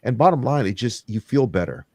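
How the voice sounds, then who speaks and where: A middle-aged man hosting a podcast, talking in a rambling, agreeable way with frequent pauses and restarts. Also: mid-range voice, animated, a little mellow, no room sound, studio quality. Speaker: podcast host. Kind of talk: conversational interview.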